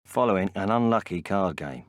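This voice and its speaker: A man's voice: sits low, lively intonation, normal speed, no echo, studio quality. A man narrating a crime game in short, scripted captions. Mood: terse. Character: low-voiced male narrator of a crime story.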